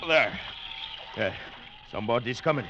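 An actor playing a stoic, loyal sailor in clipped, dutiful 1940s radio style. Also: male voice, mid-range voice, expressive, moderate pace, sharp tone, dull audio. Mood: alert. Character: stoic, loyal sailor serving his skipper. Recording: noisy old radio recording.

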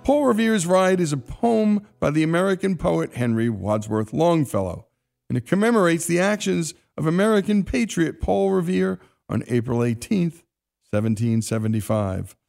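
A man's voice: on the high side, big pitch swings, normal speed, vocal fry, a little mellow. A man hosting a radio show, reading a scripted introduction. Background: faint guitar music.

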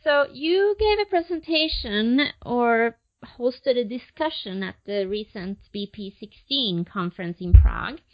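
A woman's voice: medium pitch, dull audio. A woman hosting a podcast, interviewing a guest in a friendly, chatty way. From United States. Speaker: podcast host.

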